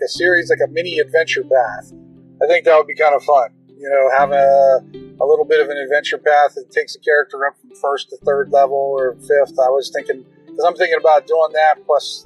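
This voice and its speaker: A man leaving a casual, chatty voice message on a dull, lo-fi recording. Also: fairly high pitch. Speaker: podcast call-in listener. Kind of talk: casual chat.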